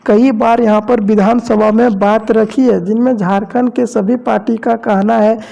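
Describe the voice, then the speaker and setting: A man reads scripted news. His voice sits high and stays on a flat, monotone line, with heavy creak throughout. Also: normal speed, dull audio. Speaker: news narrator.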